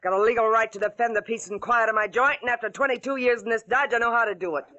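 An actress playing a tough, sardonic middle-aged woman who runs a rough barroom, brassy and bossy in 1940s radio drama style. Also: fairly high pitch, fast, pushed and bright, highs cut off. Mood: stern, threatening. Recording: old radio recording, crowd noise behind.

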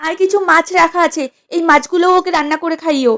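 Woman voicing a very shrill, bossy cartoon mother-in-law. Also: fairly even intonation, quick pace, a bit bright. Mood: bossy, gloating. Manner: nagging, theatrical. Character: bossy, sarcastic mother-in-law scolding her daughter-in-law. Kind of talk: exaggerated comedy cartoon acting.

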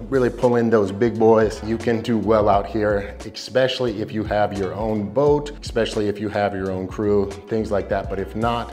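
American guy in his 30s, lowish voice, casual slangy advice video, emphatic, light music under it. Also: darkish tone. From United States. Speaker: YouTube host giving expat advice. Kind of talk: casual explainer talk to camera. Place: small indoor home studio. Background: background music.

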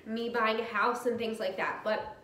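A woman's voice: on the high side, fairly even intonation, normal speed, roomy sound. Stuffy-voiced woman vlogging at home. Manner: talks a little loud, candid and open. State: stuffy from a cold. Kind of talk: personal vlog talk. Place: dining room at home. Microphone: camera across the room.

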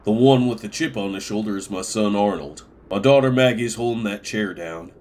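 Man acting a low-voiced older farm patriarch. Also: moderate pace, darkish tone, close to an everyday voice. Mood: calm, grave. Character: stern, plain-spoken older farm patriarch. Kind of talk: comic dub acting.

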